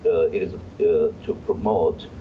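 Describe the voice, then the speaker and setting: On slightly noisy, dull audio, a man with a Korean accent and a very low voice speaks as an expert guest. His delivery is halting and hesitant as he searches for words. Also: fairly even intonation. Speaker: law professor, guest expert. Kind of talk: live expert interview.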